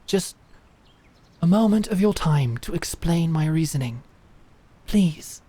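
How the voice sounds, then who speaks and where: A woman's voice: low voice, husky, exaggerated voice. A woman playing a composed, courteous female knight who speaks formally and persuasively in an audio drama. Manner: calm, formal, measured persuasion.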